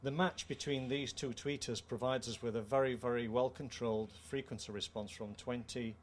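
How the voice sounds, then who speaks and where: A middle-aged man with a British accent gives a measured, formal technical explanation of a product. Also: medium pitch, steady tone. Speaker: company co-founder presenting a product. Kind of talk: technical product presentation in an interview. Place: indoor trade show room. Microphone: handheld microphone. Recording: clear background noise, show-room ambience.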